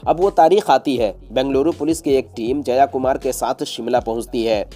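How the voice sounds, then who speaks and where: Bright-voiced man narrating true crime. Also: mid-range voice, moderate pace, faint music behind. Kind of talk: scripted Hindi true-crime storytelling narration.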